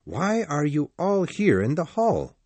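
Lady doing a calm skeptical lawyer guy, slow and husky, questioning everything. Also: low-pitched, no room sound, heavily acted. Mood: doubtful, probing. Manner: measured, questioning. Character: calm, doubting middle-aged male lawyer. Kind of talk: storybook reading.

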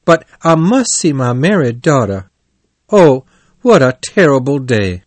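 A man's voice: mid-range voice, very animated, unhurried, no room sound, pitched above the performer's own voice. A man voicing a boastful male toad disguised as a washerwoman, chattering in a fussy, put-on old-woman manner in a storybook reading.

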